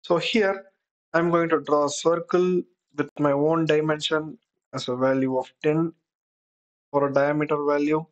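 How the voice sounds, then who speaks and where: A software tutor giving an unscripted screen-recorded tutorial, explaining step by step while thinking aloud. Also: medium pitch, little pitch movement, measured pace, dull audio.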